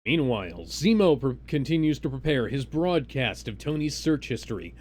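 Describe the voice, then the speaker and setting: A man's voice: mid-range voice, very animated, normal speed, darkish tone. A man reading a comic script theatrically on a live stream, sometimes breaking off into banter. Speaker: co-reader on a live comedy stream.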